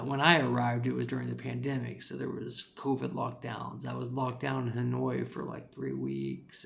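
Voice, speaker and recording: A man's voice: medium pitch, gravelly, slight background noise. A man with a rural American accent giving casual, rambling advice as an English teacher, with lots of "you know" fillers.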